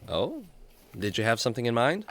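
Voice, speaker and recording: A man's voice: mid-range voice, huge pitch swings, a little fast, fairly bright tone, a little hiss or hum. A man playing a friendly, earnest young adventurer in a children's radio drama, speaking like a clean-cut storyteller. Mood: cheerful.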